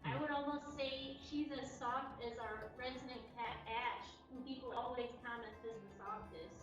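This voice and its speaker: A woman's voice: fairly high pitch, steady tone, normal speed, music in the background. A woman co-hosting a casual livestream, relaying viewers' comments in an informal chat. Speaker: cat cafe livestream co-host. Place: indoors in a cat cafe. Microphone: away from the microphone. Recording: distant, echoey, dull livestream audio.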